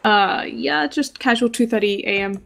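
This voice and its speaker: A woman's voice: a little high, normal speed, vocal fry, fairly bright tone. A woman giving live, conversational commentary on a video game match. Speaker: esports match caster.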